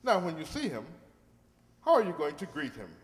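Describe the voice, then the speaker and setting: A man's voice: on the high side, wildly swooping pitch, moderate pace. A man playing a jovial, gushing radio announcer in an old-time comedy, talking heartily. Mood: hearty, gushing. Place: stage of a large hall. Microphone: stand microphone. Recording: echoey hall stage performance.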